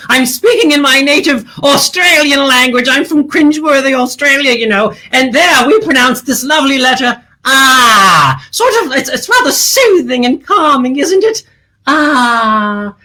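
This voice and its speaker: A woman's voice: sits high, animated, unhurried, bright, forceful tone, exaggerated voice. A woman playing a flamboyant, self-adoring children's TV hostess who talks theatrically, stretches her words and breaks into cackles. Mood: gushing, chatty. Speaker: children's show host parody. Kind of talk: comic character sketch.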